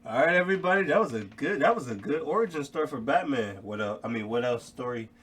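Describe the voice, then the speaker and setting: A man hosting a channel and chatting casually to his viewers, recorded quietly. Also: mid-range voice, animated, fast talker. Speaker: channel host. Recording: quiet, distant from the mic.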